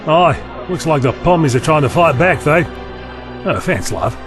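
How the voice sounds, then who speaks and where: A man with an Australian accent acting a gruff, mocking desert bar owner in an audio drama, blunt and slangy. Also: a little high, animated, normal speed, close to an everyday voice. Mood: sardonic, blunt. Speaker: actor. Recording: loud music bed behind the voice.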